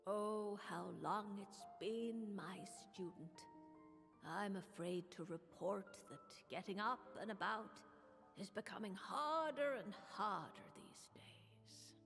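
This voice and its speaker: An actress playing a sly, wistful elderly witch mentor, reading a letter theatrically in a video game with measured, storytelling delivery. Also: mid-range voice, fairly bright tone. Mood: wistful, teasing. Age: older. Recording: long echoing reverb, music behind.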